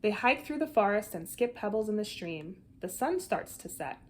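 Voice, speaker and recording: A woman reads a children's picture book aloud with an animated, deliberate storytelling delivery. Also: mid-range voice. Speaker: storybook reader.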